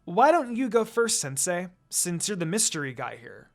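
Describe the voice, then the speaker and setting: A pushy, loud teenage ninja girl, put on by a fan-fiction reader. She pries nosily and insistently, with a lively, bouncing pitch. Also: moderate pace, faint music behind. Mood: prying.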